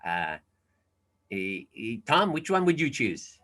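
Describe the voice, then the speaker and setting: A man's voice: medium pitch, sing-song, slow, fairly bright tone. An older man running a casual quiz on a video call, chatty and self-deprecating, with many asides and fillers. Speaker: alumni event quiz presenter. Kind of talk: casual online presentation.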